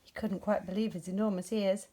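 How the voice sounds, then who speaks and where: A woman narrating a story for young children in an expressive storytelling style. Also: mid-range voice, a little fast. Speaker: storybook reader.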